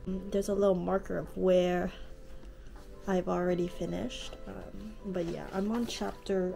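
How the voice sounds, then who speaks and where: A woman's voice: fairly low pitch, creaky, dark tone, slight background noise, low-quality recording. A young woman in her late teens with a stuffy nose from allergies, chatting casually in her bedroom about a book she is reading, full of "like" and "um". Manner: chatty, lots of "like" and "um", easygoing. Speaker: vlogger. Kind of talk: casual reading vlog chat. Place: bedroom, indoors. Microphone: camera at close range.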